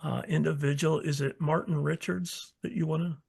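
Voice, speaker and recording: A male podcast host guiding an interview in a measured way, often repeating his words as he gently prompts his guest. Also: a little high, fairly even intonation, gravelly, slightly rough. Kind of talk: interview hosting.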